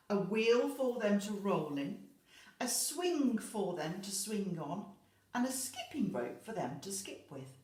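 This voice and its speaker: A woman teacher reading a children's storybook expressively, pausing to explain words simply to young listeners. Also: lowish voice, a little mellow. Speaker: infant school teacher. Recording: echoey room.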